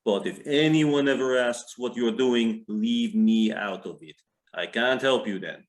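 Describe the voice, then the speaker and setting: A menacing male Russian gangster boss, played by an actor in a play reading. He is curt and commanding, with a mid-pitched voice that expects to be obeyed. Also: a little slow, lightly acted. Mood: threatening. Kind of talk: readers theater stage acting.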